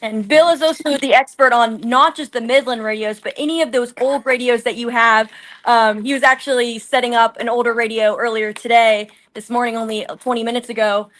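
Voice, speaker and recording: A woman field reporter giving an informal, unscripted live report with a halting, ad-libbed delivery. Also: a little high, fairly even intonation, a bit bright.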